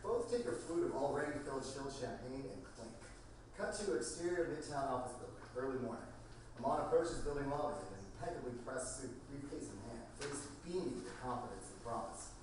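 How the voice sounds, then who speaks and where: A man reading stage directions as scripted narration at a table read, halting now and then over the script. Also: mid-range voice, animated, a little mellow. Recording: very echoey room, distant mic, faint noise.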